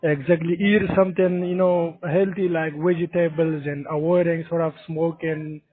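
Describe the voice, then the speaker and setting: A male call center agent speaking over a tinny phone line. He sounds polite and agreeable, with a slightly raised pitch, as he works through a sales script. He keeps affirming the caller. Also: level tone, moderate pace, breathy edge. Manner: polite, agreeable, repeats "exactly".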